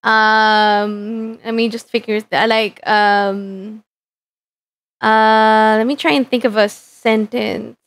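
Young woman VTuber from the Philippines, Tagalog first language; casual, giggly stream chatter, slightly high voice. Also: level tone, takes it slowly, a bit bright. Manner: chatty, giggly, lots of fillers. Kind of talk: casual live-stream chat while working.

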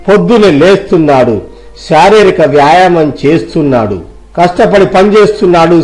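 A man's voice: on the high side, a little mellow, natural voice, low-quality recording. A man playing a self-righteous village employer who argues firmly and insistently in a dramatised moral story. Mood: self-justifying. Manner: argumentative, insistent.

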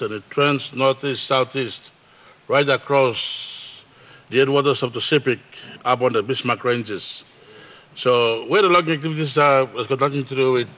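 Bright-voiced man making a point of order. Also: mid-range voice. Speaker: member of parliament raising a point of order.

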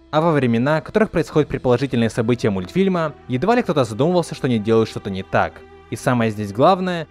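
Male reviewer, mid-pitched and very expressive, earnest scripted commentary, light music bed. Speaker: video essayist reviewing an animated film. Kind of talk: scripted video essay narration. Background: background music.

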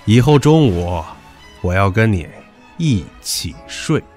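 A man's voice: fairly low pitch, very animated, takes it slowly, darkish tone, faint music behind. An actor in an audio drama playing a pushy, teasing young man who talks crudely and with swagger.

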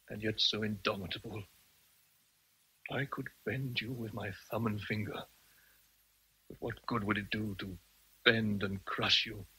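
Tormented English gentleman, very raspy voice, forceful edge, intense, radio drama. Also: mid-range voice, heavily acted, low-quality recording. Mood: impassioned pleading. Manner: intense, pleading and threatening. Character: tormented, passionate, domineering English gentleman lover.

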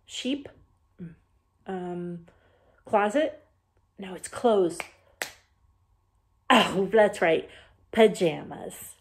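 A woman in her forties hosting a storytime for young children, talking playfully with puzzled, questioning pauses as if forgetful. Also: medium pitch, lively intonation, a little hiss or hum. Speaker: children's library storytime host. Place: small bedroom, indoors.

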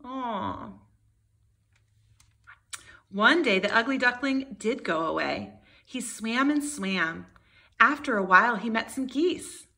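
A woman's voice: a little high, lively intonation, slow pace, creaky, pauses often, bright, forceful tone. A woman in her thirties, a kindergarten teacher reading a storybook aloud expressively to young children.

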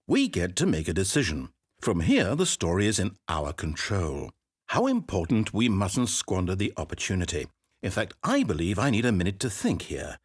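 A husky, rambling game narrator. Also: male voice, deep voice, heavily acted. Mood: eager, plotting. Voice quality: rambling, theatrical, wildly swinging delivery. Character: chatty, fussy, self-doubting male narrator. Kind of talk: video game voice acting.

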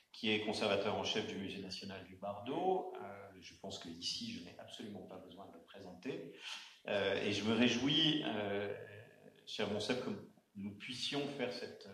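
Guy hosting a round table, lowish voice, lots of ums and restarts, roomy echoey hall sound. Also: creaky, fairly bright tone. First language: not Arabic. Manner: halting, many fillers and restarts. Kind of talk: hesitant spoken introduction at a panel. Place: auditorium.

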